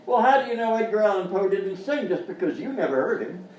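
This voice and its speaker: Guy doing a dim earnest professor, high voice, sketch comedy quiz, far from mic with echo. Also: lively intonation, quick pace, highs cut off, a crowd in the background. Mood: indignant. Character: dim professor contestant, earnest and stubbornly wrong. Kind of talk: live radio-style sketch comedy acting. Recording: echoey live room, rumbly background noise.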